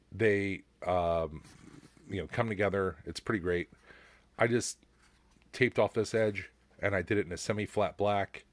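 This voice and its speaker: Deep-voiced middle-aged man, rambling casually after beers. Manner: rambling and halting, laid-back, mild swearing. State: has had a few beers. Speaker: hobbyist prop builder presenting a show-and-tell. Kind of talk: casual, unscripted hobby chat.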